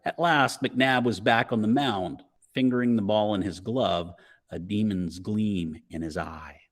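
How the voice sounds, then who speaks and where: A man's voice: fairly low pitch, measured pace. A man reading a children's novel aloud as dramatic narration, in punchy, suspenseful phrases. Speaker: storybook reader.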